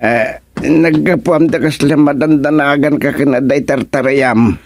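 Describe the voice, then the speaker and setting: A man's voice: on the high side, a little slow, a little hiss or hum. An actor playing an ailing, anxious village councilman in a Filipino radio comedy drama, with a Filipino accent and a flustered manner. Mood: flustered, panicky.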